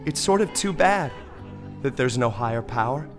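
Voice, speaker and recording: A man's voice: medium pitch, lively intonation, moderate pace, soft background music, close to an everyday voice. An actor playing a melodramatic, dying man of faith in a comic audio play, given to exclamations and big emotional swings. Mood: joyful wonder. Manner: melodramatic, exclamatory, wailing and gushing by turns. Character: dying man of faith in desert, theatrical, swings panic to wonder.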